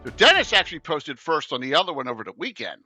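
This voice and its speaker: A man in his late fifties hosting a casual chat show, joking and rambling excitedly. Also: a little high, fast, faint music behind. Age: 50s. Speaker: podcast host.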